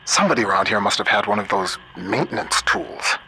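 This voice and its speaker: A steady older man, acting as a trusted guide in a video game. His voice is deep, earnest and plain-spoken, heard over a noisy radio link. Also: brisk. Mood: brisk. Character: steady, folksy older male scientist ally guiding the hero.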